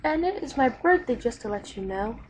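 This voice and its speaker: High-pitched bossy wife acted by a woman. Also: big pitch swings, normal speed. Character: assertive, bossy wife hosting her birthday party. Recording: noisy home recording.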